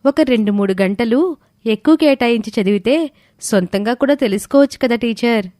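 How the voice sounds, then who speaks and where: A woman's voice: a little high, moderate pace, dark tone, dry recording, clean studio sound, pitched above the performer's own voice, close to an everyday voice. A woman voicing an earnest, respectful teenage girl student in an audio story. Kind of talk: scripted audio story dialogue.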